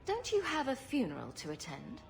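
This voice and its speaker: Game heroine: sarcastic rogue, fairly high and very animated, noisy ambience behind her. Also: moderate pace, gravelly, exaggerated voice. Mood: wry. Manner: wry, sarcastic. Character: witty, sarcastic female rogue adventurer. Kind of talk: video game voice acting.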